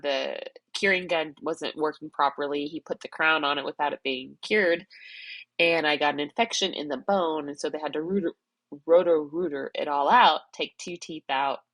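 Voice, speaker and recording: A woman's voice: low voice, a bit bright, no room sound. A middle-aged woman talking through her thoughts in a personal vlog, rambling and self-reflective while crying and congested. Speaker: vlogger. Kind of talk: emotional personal vlog, external processing.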